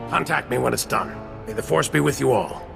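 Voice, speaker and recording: An actor playing a gruff veteran general in a video game, with a gravelly voice and a brisk, commanding manner. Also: male voice, mid-range voice, expressive. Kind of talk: video game cutscene dialogue. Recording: echoey, distant, music behind.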